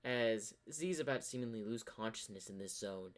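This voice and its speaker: A man narrating a fan-fiction story in an amateur, halting storytelling style. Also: on the low side, expressive.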